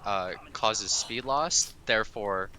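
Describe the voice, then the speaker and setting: A man's voice: on the low side, slow, bright, forceful tone. A man co-commentating a live speedrun in a dryly joking way.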